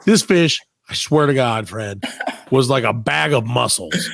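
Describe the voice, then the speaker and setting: A man's voice: medium pitch, expressive, unhurried, gravelly, a bit bright. A man co-hosting a comedy podcast, telling casual, joking stories, acting out bits of dialogue and swearing freely. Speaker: podcast co-host. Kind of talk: casual comedic chat and storytelling.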